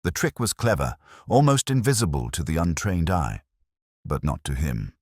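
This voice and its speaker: Very deep-voiced man narrating a detective story. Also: big pitch swings, no room sound, clean studio sound. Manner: measured, dramatic storytelling. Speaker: audiobook narrator.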